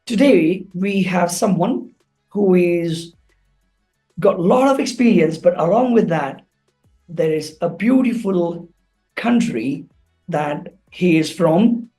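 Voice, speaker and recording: An interview host speaking slowly and deliberately with fillers, over a video call. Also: mid-range voice, animated, warm tone, soft background music. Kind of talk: interview hosting.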